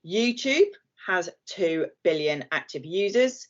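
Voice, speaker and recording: A woman's voice: fairly low pitch, expressive, takes it slowly. A woman from southern England giving a friendly, chatty presentation on a video call, with some fillers. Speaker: guest speaker and company head. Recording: muffled video call audio.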